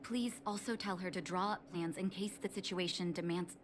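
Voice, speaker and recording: An actress playing a calm, shrewd underworld doctor in a video game, poised and deliberate with a probing manner. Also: female voice, on the low side, a little hiss or hum, natural voice. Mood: measured agreement. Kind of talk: scripted video game voice acting.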